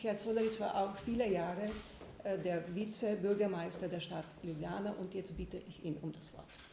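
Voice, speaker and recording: A woman's voice: mid-range voice, fairly even intonation, normal speed, vocal fry. A woman interpreting consecutively at a press conference, fluent and businesslike. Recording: echoey room with background noise.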